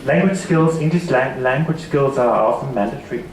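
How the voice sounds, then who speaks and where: A man with a Danish accent giving a seminar talk, halting and repeating words often. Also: mid-range voice, noisy background. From Denmark. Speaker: seminar speaker. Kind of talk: conference presentation. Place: seminar hall. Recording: echoey hall from far off.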